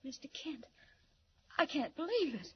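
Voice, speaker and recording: A woman's voice: sits high, big pitch swings, unhurried, crowd noise. An actress playing a distressed, earnest young woman in a 1940s radio drama. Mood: amazed, earnest. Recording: muffled old radio recording.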